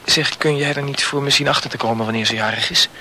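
A lovestruck, inquisitive male student in a radio play. His voice is bright and medium in pitch, and he keeps his lines terse. Faint noise sits on the recording. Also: steady tone, fast, poor audio. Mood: guarded, curious. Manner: terse, questioning. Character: lovestruck male student, probing with short questions. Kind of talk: conversational radio drama acting.

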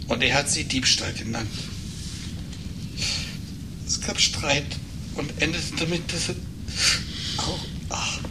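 An actor playing an agitated, embittered failed writer in a German radio play, speaking haltingly and accusingly. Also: male voice, on the high side. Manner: halting, emotionally wrought, accusing. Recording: noisy 1980s radio play recording.

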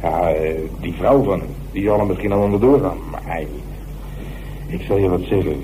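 An actor playing a cynical, hard-boiled police inspector in a radio drama, talking in a wry, mocking, colloquial way. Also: male voice, deep voice, moderate pace, lightly acted. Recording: humming, noisy radio play recording.

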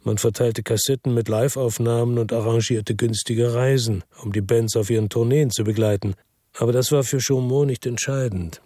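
Ein Schauspieler spielt einen weltmüden Ermittler, der in einem Noir-Hörspiel knapp und grüblerisch aus der Ich-Perspektive erzählt. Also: male voice, medium pitch, steady tone, moderate pace, dark tone, no echo, lightly acted. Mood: brooding, weary. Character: world-weary, brooding male investigator in Marseille noir. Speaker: narrator and lead actor. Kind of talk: first-person noir radio drama narration. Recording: dry studio with music underneath.

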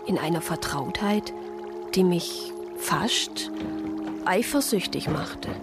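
A woman's voice: on the low side, very animated, slow, over loud music, exaggerated voice. An actress playing a reflective woman who narrates a radio drama soberly in the first person. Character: reflective woman narrator recalling her journey.

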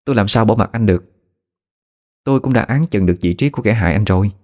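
A man voicing a calm young woman healer who speaks softly and steadily. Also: lowish voice, fast, close to an everyday voice. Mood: reassuring.

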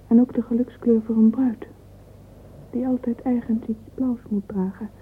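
An actress playing a dreamy, vain young woman in a radio drama monologue, intimate, sentimental and often breathy. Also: mid-range voice, lightly acted. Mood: dreamy pride. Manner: intimate, wistful, often breathy and half-whispered. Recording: narrow phone-like old radio recording, faint hiss.

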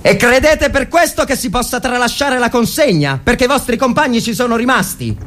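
A man's voice: very high-pitched, expressive, fairly quick, a bit bright, voice pushed up. A man playing a stern, sardonic army lieutenant in a wartime trench drama, commanding his soldiers with sarcastic rhetorical questions.

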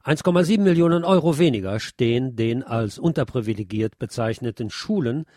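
A man presenting scripted radio news commentary, reading deliberately and emphatically with wry asides. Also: medium pitch, animated, dark tone, dry recording, clean studio sound.

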